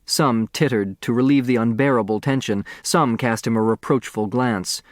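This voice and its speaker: A man narrating a scripted story as an audiobook reader, expressive and precise. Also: medium pitch, moderate pace, no room sound, clean studio sound.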